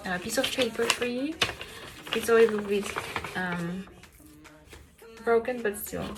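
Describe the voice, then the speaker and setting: A young woman chats casually to camera, sharing learning tips with frequent fillers. Her pitch sits in a middle range. Background music plays clearly underneath her voice. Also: rough recording. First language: Russian. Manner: chatty, many fillers, speaks to viewer directly. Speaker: language-learning vlogger.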